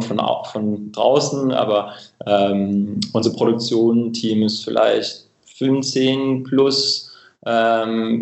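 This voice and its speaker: A man's voice: lowish voice, vocal fry, darkish tone. A man from England, a native English speaker with an English accent, chatting casually and hesitantly as a podcast guest. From Cambridge, England. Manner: hesitant, searching for words. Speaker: podcast guest, company spokesman. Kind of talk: casual interview chat. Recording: roomy, echoing remote connection.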